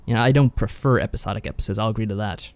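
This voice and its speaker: Guy co-hosting a podcast, talks fast, dry recording, easygoing agreeable review chat. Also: fairly low pitch, darkish tone, dry recording. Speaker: podcast co-host. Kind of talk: casual game review chat.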